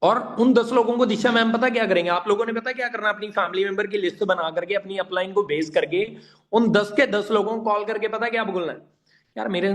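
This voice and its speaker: A man with a slightly high voice teaches an online meeting informally. He speaks emphatically, repeats key points and puts questions straight to his listeners. English words are mixed into his speech. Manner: emphatic and repetitive, addressing listeners directly with rhetorical questions. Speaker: online network-marketing trainer.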